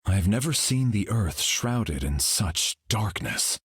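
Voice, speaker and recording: An actor voicing a serene, solemn golden cosmic hero for a video game, speaking in a calm, measured, grave way. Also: male voice, fairly low pitch, husky, dry recording, studio quality, full character voice.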